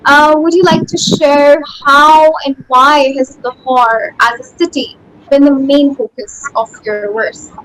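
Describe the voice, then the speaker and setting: A woman hosting an interview, asking formal questions politely and in a measured way. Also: high-pitched, fairly even intonation, fairly bright tone, poor audio. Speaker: interviewer, host. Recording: faint background noise.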